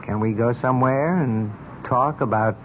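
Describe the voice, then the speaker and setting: An actor playing a polite, measured police lieutenant in a 1970s radio drama. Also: male voice, mid-range voice, natural voice. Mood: polite, grave. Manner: measured, courteous. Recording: dull, noisy old radio recording.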